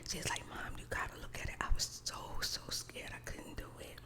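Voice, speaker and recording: A middle-aged woman tells a casual personal story in a breathy whisper, colloquially and with repeated emphasis, as in ASMR. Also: fairly quick.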